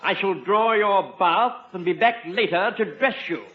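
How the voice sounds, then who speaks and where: An actor playing a haughty, fastidious English butler in a radio comedy, speaking formally with dry sarcasm and an English accent. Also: male voice, high voice, lightly acted. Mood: pompous, dry. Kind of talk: 1950s radio comedy acting. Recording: muffled, echoey, very noisy old radio recording.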